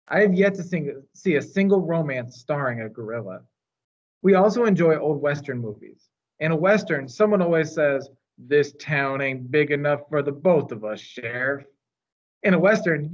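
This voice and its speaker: A man reading a children's novel aloud in a measured, expressive storytelling manner. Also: a little high, lo-fi sound. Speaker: storytime reader.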